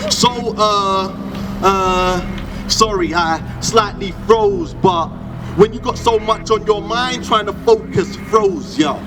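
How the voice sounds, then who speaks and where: A man performing spoken word poetry in a rhythmic, expressive, conversational delivery. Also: high voice, slow. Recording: echoey, distant, loud music behind.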